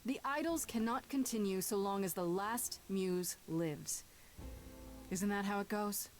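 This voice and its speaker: A woman's voice: mid-range voice, a little slow, faint music behind, natural voice. An actress playing a bold, defiant young woman who accuses gods, in a video game. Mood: firm accusation.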